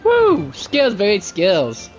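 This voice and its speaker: Man, game streamer, high voice, animated pitch, halting casual commentary, teasing, faint music behind. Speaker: game livestreamer. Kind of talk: casual live gameplay commentary.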